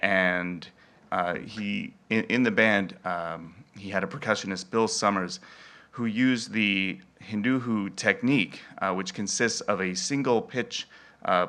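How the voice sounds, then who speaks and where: A man giving an informal presentation on music, halting a little as he thinks his way through. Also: on the low side, pushed and bright. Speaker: event presenter.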